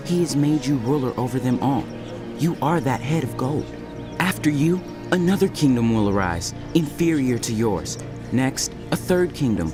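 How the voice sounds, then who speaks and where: An actor playing a devout, solemn seer in a dramatized audio Bible, speaking in reverent, measured, declamatory phrases. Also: male voice, medium pitch, close to an everyday voice. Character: Daniel, devout, solemn young Hebrew seer.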